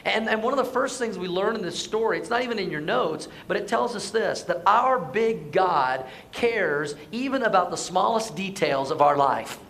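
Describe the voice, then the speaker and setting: A hoarse man preaching a conversational Sunday sermon, asking the congregation to answer back. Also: high-pitched, animated, normal speed, hardly any pauses, fairly bright tone, a little hiss or hum. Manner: conversational, asks the congregation to respond. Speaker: pastor. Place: church sanctuary.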